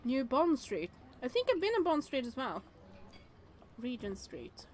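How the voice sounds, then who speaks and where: A woman streaming a game with casual, expressive commentary, thinking aloud and reading on-screen text as she goes. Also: sits high, unhurried, slight background noise.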